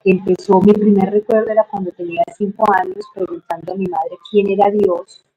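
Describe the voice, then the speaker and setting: A middle-aged woman with a Colombian Spanish accent tells her life story as an interview guest, reflective and unhurried, with many hesitations. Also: medium pitch, steady tone, narrow, tinny audio.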